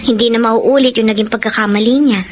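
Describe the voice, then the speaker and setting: A woman's voice: fairly high pitch, steady tone, fast talker, a little hiss or hum, lightly acted. A woman playing a hurt, betrayed young girlfriend in a Filipino radio drama, with an emotional, melodramatic delivery. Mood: troubled. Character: hurt, betrayed young girlfriend telling her love story. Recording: music bed under the voice.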